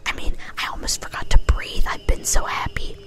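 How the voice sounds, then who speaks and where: A woman's voice: moderate pace, close to an everyday voice. Overexcited young female cartoon pony, played by a woman, gushing and bouncy, whispered ASMR story style, music underneath. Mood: excited, gleeful.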